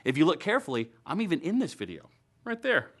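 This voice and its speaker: A man's voice: on the high side, wildly swooping pitch, quick pace, a bit bright. An American man in his thirties presenting a scripted show to camera with wry, playful asides. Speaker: web series host. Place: indoor studio.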